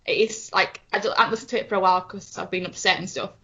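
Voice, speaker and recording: Bright, excitable woman on a muffled line. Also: medium pitch, racing, husky. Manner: rambling, restarts sentences, excitable. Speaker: podcast co-host. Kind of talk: casual fan chat. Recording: dull lo-fi audio.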